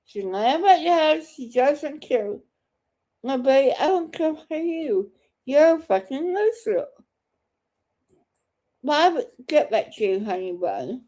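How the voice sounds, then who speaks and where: Slow, high-voiced woman, taunting and crude. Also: big pitch swings, dark tone.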